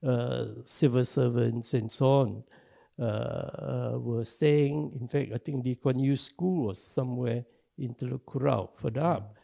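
An older man from Singapore, speaking with a Singaporean English accent, who gives measured, halting answers in an interview. His voice is gravelly and dark, and he often pauses on fillers as he thinks. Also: mid-range voice. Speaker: interview guest, activist.

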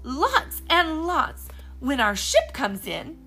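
A woman voicing a cheerful, motherly older sister, a young girl who speaks brightly and eagerly. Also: extremely high pitch, sing-song, a little slow, soft background music, pitched above the performer's own voice, heavily acted.

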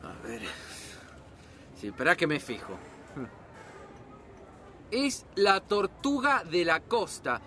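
Actor as high-voiced, exasperated Argentine family dad. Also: male voice, wildly swooping pitch, slow pace, long pauses, heavily acted. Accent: Argentine Rioplatense. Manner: exasperated, sweary, bossy. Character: harried, short-tempered Argentine family father. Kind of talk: broad family comedy sketch acting. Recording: loud street and vehicle noise behind.

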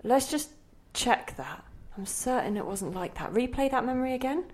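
A bewildered, insistent inner voice. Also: female voice, fairly high pitch, expressive, moderate pace. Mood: scornful, probing. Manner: questioning, fretful, insistent. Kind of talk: audio play monologue and dialogue.

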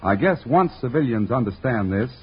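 Husky, animated, earnest druggist. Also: male voice, medium pitch, moderate pace. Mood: earnest, reassuring. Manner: earnest, explanatory. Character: friendly, earnest drugstore druggist, patient sales pitch. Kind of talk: 1940s radio comedy and advertising. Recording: dull 1940s radio broadcast.

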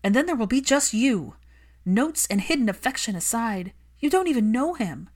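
A woman's voice: on the high side, big pitch swings, normal speed, a little mellow, pitched above the performer's own voice. A woman voicing an outspoken, emotional and indignant female caregiver in a story, with an emphatic, argumentative delivery. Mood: angry, tearful.

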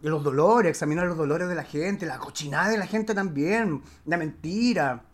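A man with a Chilean accent chatting casually as a guest, slangy and candid, often halting as he reflects. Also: on the high side. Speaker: actor, podcast guest.

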